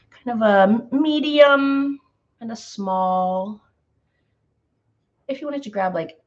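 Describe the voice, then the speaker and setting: A slightly hoarse woman hosting a live painting tutorial, chatty and greeting viewers by name with lots of ums. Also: mid-range voice, lo-fi sound. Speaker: painting instructor.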